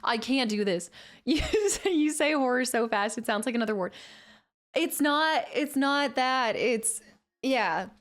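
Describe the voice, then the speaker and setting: A woman's voice: fairly high pitch, animated, a little slow. A young woman streamer chatting casually with her viewers, laughing and teasing herself as she reads the chat aloud. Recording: faint music behind the voice.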